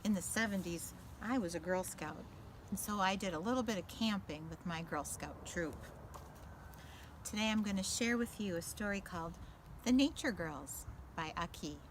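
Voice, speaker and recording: A woman in her fifties, a teacher reading a children's picture book aloud in an expressive, deliberate way. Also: mid-range voice. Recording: roomy echo, faint background noise.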